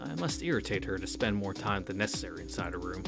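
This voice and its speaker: A man's voice: sits low, expressive, quick pace, vocal fry. A man hosting a visual novel read-through, reading the narration aloud and adding casual asides. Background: game music.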